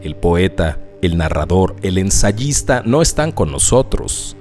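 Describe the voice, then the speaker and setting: A man reads a quoted passage in a very low voice. His delivery is solemn and unhurried. Soft music plays behind him. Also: very animated. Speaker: reader of a quoted text. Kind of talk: scripted reading.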